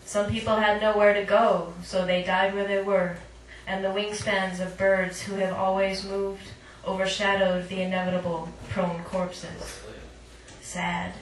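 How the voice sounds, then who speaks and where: A woman's voice: medium pitch, level tone, unhurried. A woman reading her poetry live at a cafe, with informal, self-conscious asides. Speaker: poet, featured reader. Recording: echoey room, distant mic, clear noise.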